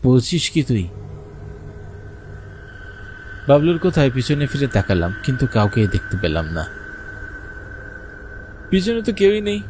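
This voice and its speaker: A man's voice: mid-range voice, darkish tone, slight background noise, close to an everyday voice. A man playing a frightened village fisherman, narrating a cartoon horror story in the first person with suspense and anxious urgency. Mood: nervous, suspenseful.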